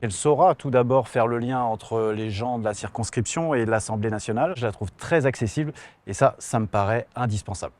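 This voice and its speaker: A man in his forties speaks haltingly in a campaign testimonial, his sentences broken up by filler pauses. His pitch sits right in the middle for a man. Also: darkish tone. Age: 40s. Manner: hesitant, many filler pauses. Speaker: middle and high school teacher.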